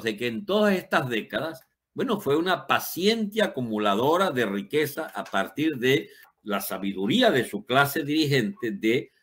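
Male political commentator, seventies. Emphatic, opinionated off-the-cuff monologue, bright and sharp with big pitch swings. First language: Spanish. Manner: emphatic, rhetorical questions, colourful wording.

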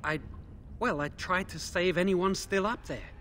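An actor playing a remorseful, timid imprisoned mage in a video game, speaking in a hesitant, earnest way. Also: male voice, high voice, unhurried, fairly bright tone, slight background noise. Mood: remorseful, pleading.

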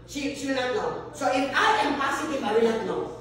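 A woman in her sixties lecturing a class of nurses, animated and constantly quizzing them with check questions. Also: sits high, fairly quick. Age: 60s. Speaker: nursing lecturer. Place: large wood-floored meeting room, indoors. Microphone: far phone camera. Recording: echoey room from far off, some noise.

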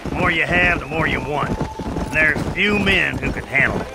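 Creaky old frontier rancher. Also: male voice, a little high, normal speed, heavy background noise. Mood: opinionated. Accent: American Western. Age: older. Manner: blunt, folksy, preachy. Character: opinionated, hearty old frontier rancher, proud father. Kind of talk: dramatic video game acting.

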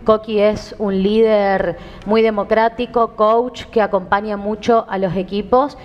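A woman, a minister speaking in fluent, measured political phrases in a TV studio interview. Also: mid-range voice, steady tone, long reverb tail, muffled recording. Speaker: government minister and candidate. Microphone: headset mic.

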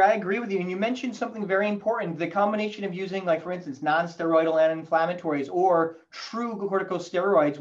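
A man's voice: sits high, lo-fi sound. A man in his forties giving a fluent technical explanation as a guest expert on a video call. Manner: fluent, lecturing, animated gestures. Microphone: video call webcam.

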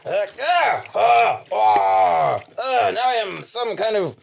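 A blustering cocky soldier hero, slow and hammy, croaky voice, noisy live stage recording. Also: male voice, high voice, big pitch swings, heavily acted. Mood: hammy, puzzled. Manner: theatrical, blustering, halting. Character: bumbling cocky adventurer, a male feudal soldier on a quest. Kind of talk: live comedy stage play acting. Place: small theatre stage with an audience. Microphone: handheld or stand stage microphone.

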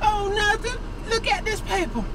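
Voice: extremely high pitch, moderate pace, a crowd in the background. Playful American vlogger over noisy background. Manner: playful, repeats phrases over and over. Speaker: prank vlogger. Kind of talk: excited casual vlog chat to camera. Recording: noisy handheld recording, vehicle and street sounds.

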